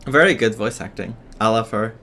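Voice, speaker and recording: A man's voice: on the low side, moderate pace, pushed and bright, slight background noise. A man giving casual, amused, reactive commentary as he plays through a video game. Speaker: gaming streamer.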